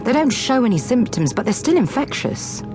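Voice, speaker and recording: A woman's voice: on the high side, very animated, normal speed, over music. A woman acting in a radio drama as a driven, frustrated infectious disease doctor, speaking insistently and articulately. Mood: grave, explanatory.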